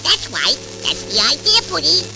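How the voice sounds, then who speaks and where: A man's voice: very high-pitched, huge pitch swings, normal speed, gravelly, heavily acted, poor audio. A man voicing a tiny, innocent baby cartoon canary, sweet and persuasive with babyish speech, on a vintage children's record. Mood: pleading, earnest. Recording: vintage 78rpm record with music.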